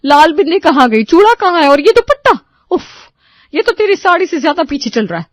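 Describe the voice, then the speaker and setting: A woman playing a high-voiced nagging mother-in-law. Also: big pitch swings, fairly quick, dry recording, clean studio sound. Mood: disapproving. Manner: chiding, sarcastic rhetorical questions. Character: nagging, sarcastic middle-aged mother-in-law and aunt. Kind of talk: Hindi cartoon moral story voice acting.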